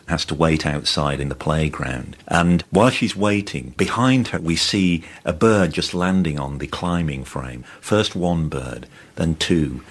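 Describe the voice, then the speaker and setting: A man speaking as a film commentator, recalling and describing in a documentary interview. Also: a bass voice.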